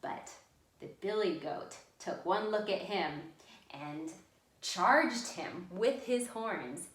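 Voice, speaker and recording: A woman hosting a library storytime, telling a children's story deliberately with dramatic pauses. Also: medium pitch, lively intonation. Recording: echoey room sound.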